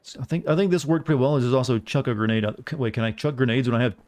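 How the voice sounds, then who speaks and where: Fast-talking American male game streamer. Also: medium pitch, darkish tone. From southern United States. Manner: rambling, jokey, self-deprecating. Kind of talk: casual live gameplay commentary, chatting with viewers.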